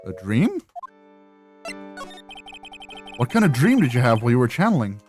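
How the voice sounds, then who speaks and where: A woman voicing a steady, protective young male defense attorney in a video game, measured and a little wry. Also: rumbling low, music in the background, voice pushed down. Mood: uneasy, gentle.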